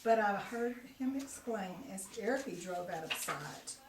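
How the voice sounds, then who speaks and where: A woman's voice: medium pitch, animated, a little slow. Woman reading verse, echoey and noisy. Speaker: family member reading a poem aloud. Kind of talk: read-aloud Christmas poem. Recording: echoey home recording with clear noise.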